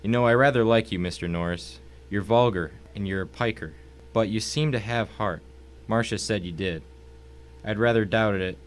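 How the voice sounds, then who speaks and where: A man's voice: on the low side, moderate pace, close to an everyday voice. A man playing a smug, wealthy, cultured villain in an audio drama, speaking in a pompous, formal, mocking way. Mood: smug contempt. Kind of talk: dramatic audio story acting.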